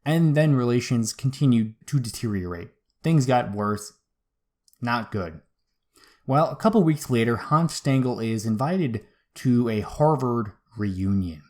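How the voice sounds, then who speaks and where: A man's voice: mid-range voice, expressive, a little slow, darkish tone. A man hosting a history show, retelling events in an informal, slangy, conversational way. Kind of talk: casual conversational history storytelling.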